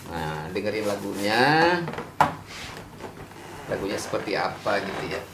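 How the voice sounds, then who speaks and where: A man's voice: on the low side, steady tone, measured pace, very noisy. A man hosting a casual chat, explaining things and quizzing his guest, with hesitant fillers. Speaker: host. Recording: echoey room with faint music.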